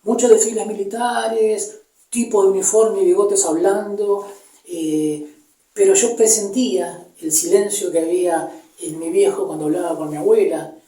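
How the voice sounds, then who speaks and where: A man in his fifties with a Buenos Aires area Argentine accent, recounting personal memories in a halting, reflective way, pausing to search for words. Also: high-pitched, fairly even intonation, mellow. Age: 50s. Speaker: interviewee giving personal testimony. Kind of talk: personal storytelling, unscripted recollection. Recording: echoey room, distant microphone.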